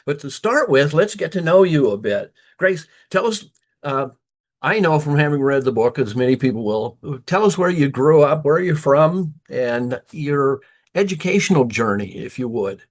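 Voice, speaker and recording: A man's voice: a little high, animated, moderate pace. Older male host, hesitant and chatty. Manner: warm, hesitant with many fillers. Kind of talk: interview conversation.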